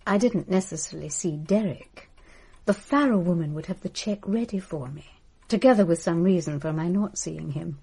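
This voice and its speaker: An actress in a radio drama playing a scornful, bitter estranged wife with a caustic, haughty manner. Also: female voice, fairly low pitch, moderate pace, gravelly, dark tone, husky. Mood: sardonic, evasive.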